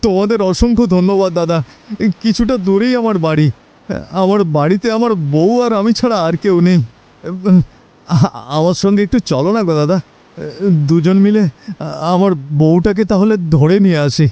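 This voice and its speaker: A man's voice: high voice, measured pace, long pauses, mellow, highs cut off. A man playing an anxious, insistent stranger who pleads with people in a village horror cartoon. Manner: beseeching, insistent. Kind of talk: Bengali horror cartoon dubbing.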